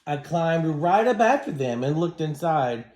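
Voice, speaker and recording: A man reading a children's story aloud as a teacher, in an expressive, dramatic manner. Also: fairly high pitch, measured pace. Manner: dramatic, acts out dialogue. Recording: roomy, echoing room sound.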